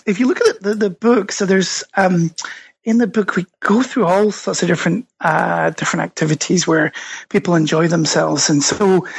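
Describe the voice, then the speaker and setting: A man's voice: high-pitched, vocal fry, slightly rough. A Scottish man being interviewed on a podcast, explaining his research thoughtfully with many fillers and restarts. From Scotland. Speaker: guest author and researcher.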